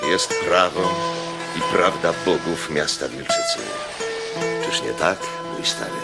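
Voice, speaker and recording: An actor playing a lordly Roman proconsul in a radio drama, speaking slowly and commandingly. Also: male voice, low voice, huge pitch swings, vocal fry, heavily acted. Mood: commanding, musing. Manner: slow, commanding, musing. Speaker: Roman governor. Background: music.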